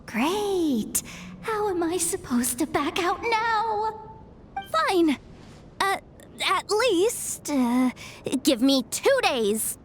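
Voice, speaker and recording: A woman playing an exasperated, reluctant and easily flustered young female informant in a video game, with expressive, grumbling delivery. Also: very high-pitched, extremely slow, slight background noise, exaggerated voice. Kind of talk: English video game cutscene voice acting.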